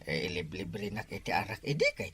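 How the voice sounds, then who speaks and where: Woman doing a husky low grumbly old captain guy, voice goes all over. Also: animated, a little fast, fairly bright tone, no room sound, exaggerated voice. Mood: grumbling. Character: gruff middle-aged male barangay captain.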